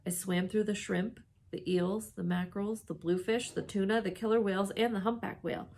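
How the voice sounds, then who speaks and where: A woman reading a children's storybook aloud in an expressive, dramatic way, with emphatic exclamations and hushed suspense. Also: mid-range voice, a little fast, slight background noise. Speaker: storybook reader.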